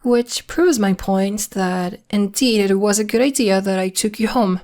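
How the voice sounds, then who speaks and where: A woman playing a secretive, polite and protective young werewolf in an ASMR roleplay, speaking in a measured, hesitant, matter-of-fact way. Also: mid-range voice, darkish tone, close to an everyday voice. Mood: concerned, mildly reproving.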